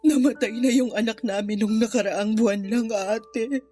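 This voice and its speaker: Actress doing a crying ashamed poor mom in a radio drama, high pitched n pleading, music behind. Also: female voice, fairly even intonation, moderate pace, dark tone, natural voice. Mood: grieving, guilty. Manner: tearful, pleading, self-blaming confession. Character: remorseful impoverished mother, a runaway aunt, ashamed and grieving. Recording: music bed under the voice.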